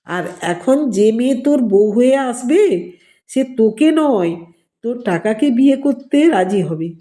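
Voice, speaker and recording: A sneering younger brother played by a woman narrator from an audio story, taunting and condescending. The delivery is unhurried and sarcastic, with a mellow tone that is fairly high. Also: expressive.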